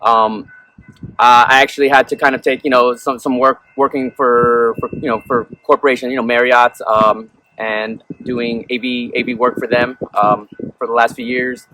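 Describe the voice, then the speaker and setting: A man's voice: medium pitch, little pitch movement, normal speed, bright, forceful tone. A young man born in Peru, a musician being interviewed, who rambles casually and fills his talk with "you know" and "um". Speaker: musician guest. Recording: noisy background.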